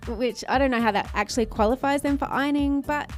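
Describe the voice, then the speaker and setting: An Australian woman introduces a podcast in a breezy, chatty way. Her voice sits a little high, and light music plays softly behind her. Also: quick pace. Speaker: podcast co-host, performer. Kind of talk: chatty scripted live introduction.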